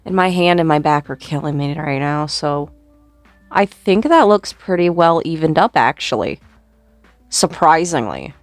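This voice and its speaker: A young woman with a somewhat low voice, a little sore and scratchy, chatting in a relaxed, self-deprecating way as she does her makeup. She talks close to a small desk mic in a dry, dead-sounding bedroom, with faint music underneath. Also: a little slow, no room sound. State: slightly sore voice. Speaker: makeup vlogger. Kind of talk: casual get-ready-with-me chat. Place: small bedroom with red curtain backdrop. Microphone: small desk mic on boom arm close up.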